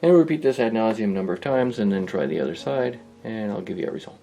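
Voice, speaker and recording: A man's voice: lowish voice, expressive, fast talker, vocal fry, dark tone, soft background music. A man narrating a hobby workshop project informally, thinking aloud in a hesitant, rambling, musing way. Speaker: host of a hobby electronics restoration video.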